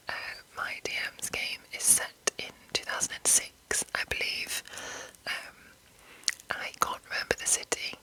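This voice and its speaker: A woman hosting ASMR, speaking entirely in a soft, breathy whisper as she gives an informal, rambling, hesitant explanation. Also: a bass voice, poor audio. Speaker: ASMR host.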